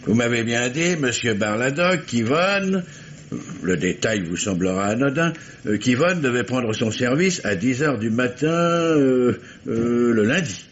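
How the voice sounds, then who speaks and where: A wily, insistent police commissioner played by a male actor in a radio mystery, with lively intonation. He repeats himself hesitantly, then takes command. The recording is muffled and faintly noisy. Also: medium pitch, measured pace, lightly acted. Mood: methodical probing. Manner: hesitant, repetitive phrasing that turns commanding. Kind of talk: 1950s French radio mystery acting.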